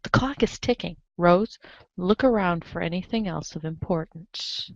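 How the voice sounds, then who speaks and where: A woman's voice: lowish voice, measured pace, no room sound. A woman reading scripted narration aloud as the narrator of a group reading. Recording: dull lo-fi audio.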